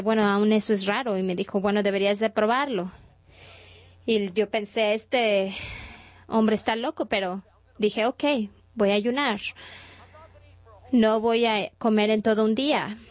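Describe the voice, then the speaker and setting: A woman's voice: medium pitch, darkish tone, dry recording. A woman delivering a sermon in Spanish translation, talking in a conversational storytelling way with frequent restarts.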